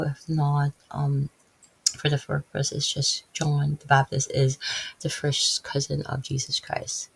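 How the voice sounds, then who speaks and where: A woman's voice: low voice, vocal fry, husky, poor audio. A woman from the Philippines with a Filipino accent, reading Bible verses and explaining them in a casual, rambling way full of fillers and restarts. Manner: rambling, many fillers and self-corrections. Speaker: Bible video host.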